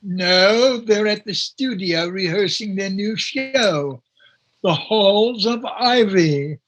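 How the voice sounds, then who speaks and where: A snooty radio-comedy butler, formal and dryly polite. He draws his words out extremely slowly in a high, bright, pointed voice. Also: muffled recording, exaggerated voice. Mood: formally polite. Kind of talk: old-time radio comedy acting.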